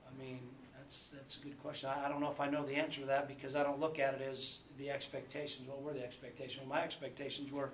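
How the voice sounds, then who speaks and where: A man in his forties, a basketball coach, speaking at a postgame press conference in a subdued, halting way with many fillers. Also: mid-range voice, completely flat delivery. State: subdued after a loss. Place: small press room indoors. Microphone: table mic. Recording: echoey room, faint background hum.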